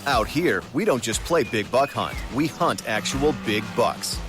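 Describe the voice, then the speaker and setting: Guy doing an outdoorsy ad voiceover, mid voice, music up loud behind him. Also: medium pitch, animated, a little slow. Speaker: advertisement voice-over. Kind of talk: scripted outdoorsy commercial narration. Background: prominent music.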